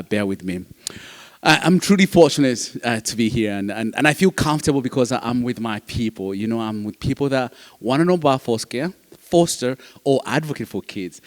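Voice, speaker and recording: A man with a Ugandan accent gives a personal talk as a guest speaker, his English a later language. He is emphatic, repeating phrases for weight. His mid-pitched voice rings with long hall reverb. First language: not English, which is a fourth language. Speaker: guest speaker, foster care advocate. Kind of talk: personal motivational talk to an audience.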